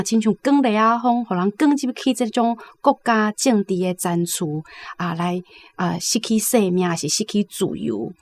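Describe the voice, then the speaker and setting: A mid-pitched woman speaks with a Taiwanese accent. She comments on literature conversationally, explaining things with frequent tag particles.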